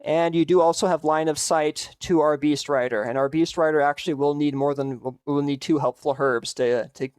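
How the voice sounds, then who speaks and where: A man's voice: a little high, steady tone, moderate pace, few pauses, a little mellow, clean tone, no room sound. A man narrating as game master of a tabletop role-playing game, explaining rules and scenes in an easygoing way.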